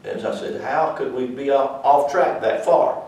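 A man's voice: medium pitch, measured pace, a little mellow. An older man with a Southern US accent, teaching a church class in a rambling, folksy, conversational way. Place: small church classroom, indoors. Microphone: far camera. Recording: echoey room from far off.

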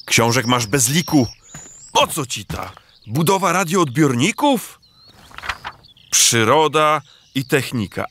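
An actor playing a jovial, teasing village neighbour in a rhymed children's radio play, with playful theatrical exclamations. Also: male voice, fairly high pitch, sharp tone, slight background noise, exaggerated voice. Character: teasing, jovial village neighbour man urging a bachelor to marry.

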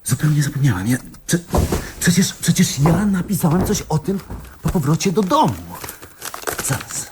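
A man's voice: a little high, animated, normal speed, background noise, natural voice. A man acting in a radio play as a dreamy, absent-minded avant-garde poet with a theatrical, rambling delivery. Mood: distracted, excited. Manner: theatrical, rambling, head in the clouds.

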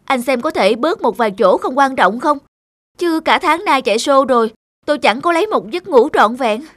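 A woman plays a young male singer in a cartoon, worn out and plaintive. The voice sits high and light, with music playing behind it. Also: animated, moderate pace, a bit bright, no room sound, studio quality. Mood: tired. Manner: plaintive, complaining. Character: weary young male street singer turned star.

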